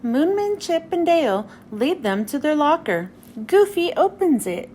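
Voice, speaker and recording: A woman's voice: high-pitched, sing-song, unhurried, a little mellow. A woman reading a children's storybook aloud as narrator, expressively, as if to young children. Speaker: children's book reader. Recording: faint background noise.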